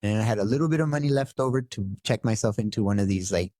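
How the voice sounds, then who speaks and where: A middle-aged man from Costa Rica telling his life story as a podcast guest, halting and reflective. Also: on the low side, warm tone, no echo, studio quality. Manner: halting, many fillers and restarts. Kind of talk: interview storytelling.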